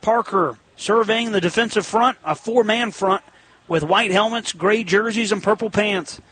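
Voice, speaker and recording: A very high-voiced American man calls football play-by-play for radio. His tone is bright and forceful, and he delivers short, punchy phrases that build toward big shouted calls. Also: unhurried, slight background noise, low-quality recording.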